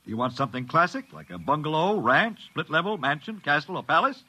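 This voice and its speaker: A man's voice: a little high, expressive, brisk, creaky, pushed and bright, husky, heavily acted, low-quality recording. An actor playing a fussy, deferential salesman in a 1950s radio drama, polished and precise in his delivery. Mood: obliging, salesmanlike. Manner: polished, obliging, precise enumeration. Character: fussy, deferential male builder salesman of the future.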